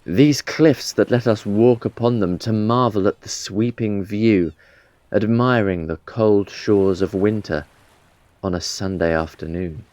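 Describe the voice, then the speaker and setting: A man reciting poetry in a measured, reflective way. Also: lowish voice, a little mellow, dry recording. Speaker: poetry reader.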